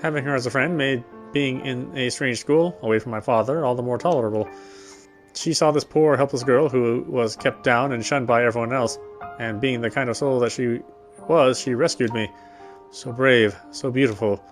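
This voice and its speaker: A man's voice: mid-range voice, moderate pace. A man reading a visual novel's narration aloud as he plays it. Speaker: game player reading the text aloud. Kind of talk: scripted narration read aloud in a gameplay video. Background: game music.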